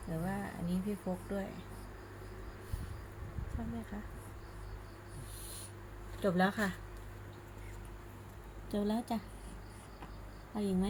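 A woman, a mother doing picture-book reading and gentle baby talk, coaxing with simple repeated questions. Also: mid-range voice, steady tone, slow pace, pauses often. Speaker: mother reading a bedtime story to her baby. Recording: noisy home recording.

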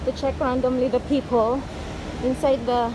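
A woman's voice: high-pitched, little pitch movement, moderate pace. A woman with a Filipino accent chatting casually as a vlogger, switching between languages as she goes. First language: Filipino (Tagalog or Visayan). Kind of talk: casual walking vlog chat, code-switching. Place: outdoors on city streets and in a busy mall food court. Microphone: handheld phone. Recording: noisy outdoor street and mall ambience.

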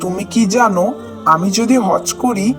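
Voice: mid-range voice, unhurried, fairly bright tone, faint music behind, close to an everyday voice. A performer voicing a curious, devout young son in a children's cartoon, earnest and full of wonder. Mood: curious, hopeful. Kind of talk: children's moral cartoon dialogue.

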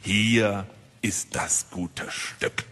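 An actor in a German audio drama playing a shifty, obsequious gang leader with menace underneath and theatrical delivery. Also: male voice, fairly low pitch, fairly even intonation, very slow, noisy background. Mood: fawning.

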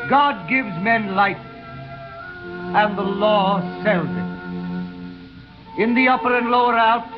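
An actor playing an earnest, compassionate bishop preaching solemnly in a 1930s radio drama. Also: mid-range voice. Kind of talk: 1930s radio acting, sermon. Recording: muffled 1930s radio recording, music behind.

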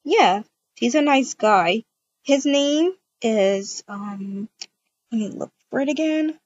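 A woman's voice: fairly high pitch, expressive, takes it slowly, a little mellow, no echo, muffled recording. A woman speaking casually as she improvises a game roleplay and chats to viewers. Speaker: video creator acting out a roleplay.

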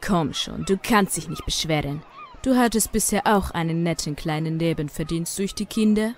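A woman's voice: lowish voice, normal speed, slight background noise, lightly acted. A woman playing a cruel, scheming child trafficker in a German audio drama, speaking with smug menace. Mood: menacing, smug.

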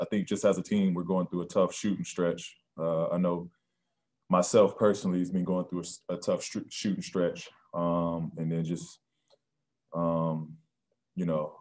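A man in his thirties answering postgame questions at a press conference, soft-spoken and halting with many ums and restarts, on a video call. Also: low-pitched. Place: press room with sponsor backdrop.

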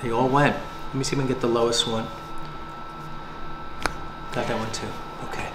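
An older man with a steady, mid-pitched voice talks patients through treatment, calm and encouraging. He sounds like he's in an echoey clinic room, with a clear hum of background noise. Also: fairly quick. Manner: calm, coaching, upbeat. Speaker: chiropractor. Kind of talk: hands-on treatment talk.

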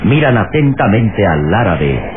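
A man's voice: lowish voice, big pitch swings, measured pace. A man narrating an adventure radio serial in a formal, dramatic, scripted style. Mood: suspenseful. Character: male storyteller of an adventure serial. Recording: noisy, tinny old radio recording.

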